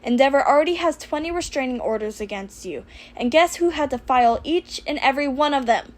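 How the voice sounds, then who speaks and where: A woman's voice: high voice, lively intonation, fairly quick. A woman voicing a brash young professional heroine in an audiobook, casual and sardonic with a caring streak. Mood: exasperated. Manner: casual, exasperated, emphatic. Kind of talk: audiobook character voice for fan fiction.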